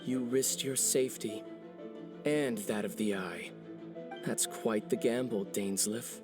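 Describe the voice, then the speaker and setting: A man voicing a composed, calculating villain in a video game, formal and deliberate. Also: lowish voice, music in the background. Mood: coolly probing.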